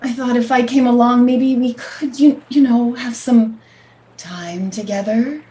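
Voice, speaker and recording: A woman's voice: fairly high pitch, steady tone, a little slow, dark tone, close to an everyday voice. A woman playing a seductive, confident, scheming mistress in a radio play. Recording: faint background noise.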